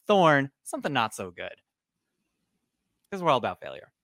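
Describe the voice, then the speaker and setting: Guy cohosting a podcast, scratchy hoarse voice, jokey, dry sound. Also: mid-range voice, huge pitch swings, normal speed, a bit bright, no echo. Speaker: podcast co-host. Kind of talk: casual chat.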